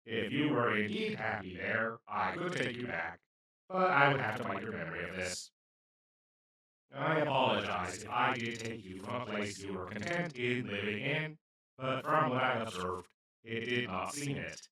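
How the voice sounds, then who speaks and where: Guy doing a nice awkward alien for comedy audio rp, kinda low bright voice, rambly and apologetic, super echoey. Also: animated, moderate pace. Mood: apologetic, concerned. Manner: gentle, earnest, apologetic, slightly formal and rambling. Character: kind, awkward, well-meaning male alien abductor. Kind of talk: scripted comedic audio roleplay, addressing the listener. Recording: roomy, echoing, distant-sounding voice.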